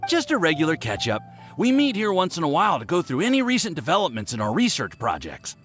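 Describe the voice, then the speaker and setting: A man's voice: fairly high pitch, wildly swooping pitch, quick pace, fairly bright tone. A man playing a friendly, nervous young alchemist in an anime game, stammering and awkward as he talks. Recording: music behind the voice.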